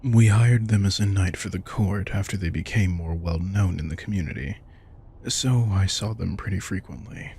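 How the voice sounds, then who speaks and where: A man voices an ancient vampire king with a very low, creaky voice. He speaks like a wry, protective mentor in an intimate audio drama, reflective and casually frank. Faint background noise sits under the voice. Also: moderate pace, mellow. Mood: fond, nostalgic. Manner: casual, reflective, swearing, addresses listener directly. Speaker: audio roleplay performer. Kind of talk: intimate scripted audio roleplay, conversational monologue.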